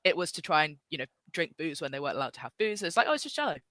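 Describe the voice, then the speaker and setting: A man co-hosting a casual podcast, telling quick enthusiastic anecdotes. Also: a little high, very animated, pushed and bright, dry recording. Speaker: podcast co-host. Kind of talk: casual chat.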